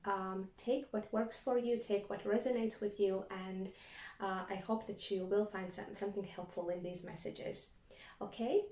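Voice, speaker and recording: Woman doing a tarot reading for her viewers, thinks out loud, soft warm tone, roomy echo. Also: medium pitch, steady tone, normal speed. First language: Latvian. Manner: reflective, thinking aloud while reading cards. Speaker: tarot reader.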